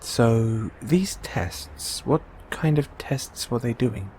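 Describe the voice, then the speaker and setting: A man playing a gentle, caring android owner in an intimate one-sided audio roleplay, coaxing and inquisitive, with long pauses. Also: medium pitch, animated, slight background noise. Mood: curious.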